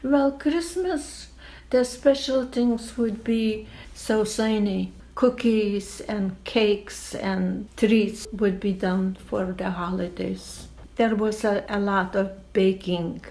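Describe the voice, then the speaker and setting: An older woman from Lithuania, a native Lithuanian speaker, explaining patiently in a slow, deliberate way during an oral history interview. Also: medium pitch, fairly even intonation, warm tone, low-quality recording.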